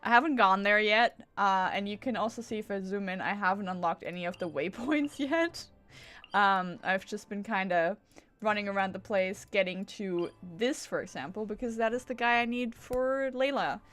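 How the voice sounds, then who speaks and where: A woman's voice: mid-range voice, normal speed, fairly bright tone. Woman streaming a game, chatty, rambles and cuts herself off, swears casually. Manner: rambling, self-interrupting. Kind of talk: casual livestream gameplay chat.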